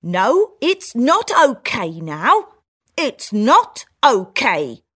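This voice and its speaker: A woman voicing a homely female country mouse who talks slowly and insistently in a storybook reading. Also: fairly high pitch, wildly swooping pitch, pitched above the performer's own voice, full character voice. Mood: upset. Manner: slow, insistent, loud complaint.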